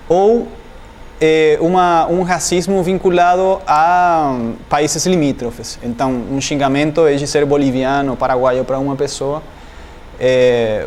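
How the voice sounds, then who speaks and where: Man answering thoughtfully, higher-pitched. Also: a little mellow, a little hiss or hum. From Argentina, Entre Ríos province. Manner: thoughtful, many fillers and restarts, searches for words. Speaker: doctoral student, interviewee. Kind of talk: academic interview answers.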